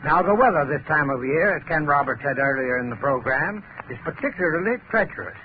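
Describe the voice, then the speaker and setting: A man's voice: fairly high pitch, animated, brisk. A man giving a formal, instructive scripted advertisement as an expert spokesman on 1930s radio. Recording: tinny, hissy 1930s radio recording.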